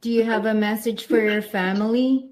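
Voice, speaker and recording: A woman's voice: fairly high pitch, fairly even intonation, normal speed, a little mellow. A woman asking a spirit pleading questions in a session.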